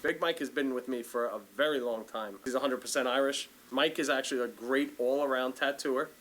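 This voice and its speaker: A man's voice: mid-range voice, fairly even intonation, brisk, a bit bright. A man talking bluntly in a reality show interview as a tattoo shop owner introducing his crew. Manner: blunt, swearing. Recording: music behind the voice. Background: guitar music.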